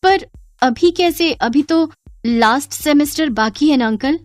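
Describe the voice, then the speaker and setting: A woman voicing a lively, loyal young female student in a cartoon story. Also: high-pitched, measured pace, no echo, soft background music, pitched above the performer's own voice. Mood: pleading.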